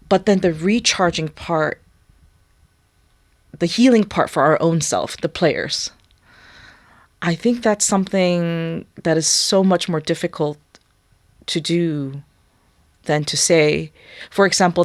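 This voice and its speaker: A young woman whose first language is Korean, speaking thoughtfully in a studio interview and often pausing to search for words. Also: low voice, dry recording. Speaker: guest musician. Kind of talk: reflective interview talk.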